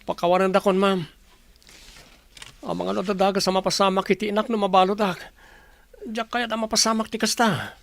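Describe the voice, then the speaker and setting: A man's voice: sits high, flat delivery, fairly quick, gravelly, pauses often, fairly bright tone. A man with an Ilocano accent playing a contrite wrongdoer begging forgiveness in a radio drama. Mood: apologetic, pleading.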